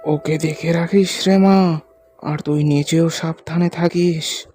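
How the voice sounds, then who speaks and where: A woman voicing a worried, loving village father in a cartoon, gentle and fretful. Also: deep voice, steady tone, slow, soft background music. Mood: tender. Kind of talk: animated cartoon voice acting.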